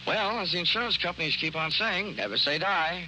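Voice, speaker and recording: An actor playing a cynical, wisecracking insurance investigator in 1940s radio style, with a wry, tough manner. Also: male voice, high-pitched, fairly quick, sharp tone, slight background noise, low-quality recording. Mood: sardonic. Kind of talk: 1940s radio detective acting and narration. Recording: old 1940s radio recording with music.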